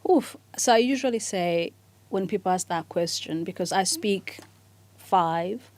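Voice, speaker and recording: A woman from Rwanda, co-hosting a casual radio podcast and talking in a relaxed way with restarts. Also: on the low side, measured pace, no room sound. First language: Kinyarwanda. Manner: relaxed, thinks aloud with restarts. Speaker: co-host.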